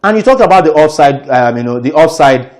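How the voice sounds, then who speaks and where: A man's voice: fairly high pitch, expressive, fairly quick. Assertive male football analyst. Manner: assertive, explanatory. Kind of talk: sports talk show analysis.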